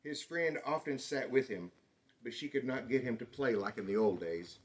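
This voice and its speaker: Male storyteller, mid-range voice, children's book read-aloud, expressive and dramatic delivery. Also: mid-range voice, moderate pace. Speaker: storybook reader.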